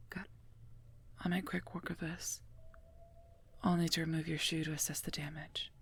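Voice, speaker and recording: A woman playing a stern, protective werewolf knight in a whispered ASMR roleplay, speaking formally and softly in a breathy voice, gently scolding and earnest, close to the microphone. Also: deep voice, level tone, moderate pace, exaggerated voice. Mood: gentle concern.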